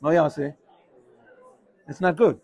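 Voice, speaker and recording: Here is an energetic man, giving a casual Torah class. His voice sits a little high for a man. He keeps jumping between English and Hebrew terms as he explains. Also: slow. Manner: animated, mixes English with Hebrew phrases. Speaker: rabbi teaching a class. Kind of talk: informal Torah class with questions.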